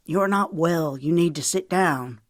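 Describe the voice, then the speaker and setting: Woman reading a tired husband, clipped and short, lowish and without much room sound, for an audiobook. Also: unhurried, no room sound, clean studio sound, close to an everyday voice. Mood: curt. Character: weary stubborn Southern husband.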